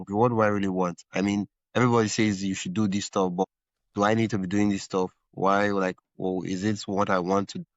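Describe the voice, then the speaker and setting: A low-voiced man hosts a podcast conversation, working through his thoughts in long, winding follow-up questions. His audio is slightly dull and lo-fi, with a dry, close sound. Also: no echo. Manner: thinks aloud at length, rambling follow-ups. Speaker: podcast host. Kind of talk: conversational interview.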